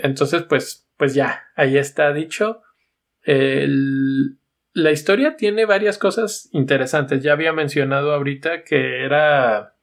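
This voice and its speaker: Male podcast host, Mexican accent, mid-pitched, informal, halting film-review chat. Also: creaky. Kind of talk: casual conversational film review.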